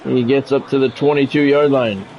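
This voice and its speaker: A man's voice: medium pitch, fairly even intonation, normal speed, dull audio, slight background noise. A man doing play-by-play of a football video game on a live stream, mixing in plain-spoken, grumbling chat. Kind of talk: sports play-by-play commentary mixed with casual stream chat.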